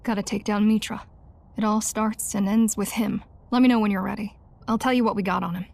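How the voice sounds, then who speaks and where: An actress playing a hard-nosed, battle-weary resistance leader with curt delivery in video game dialogue. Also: female voice, mid-range voice, fairly even intonation, fairly quick, creaky, darkish tone. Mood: weary, resolute. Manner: curt, matter-of-fact.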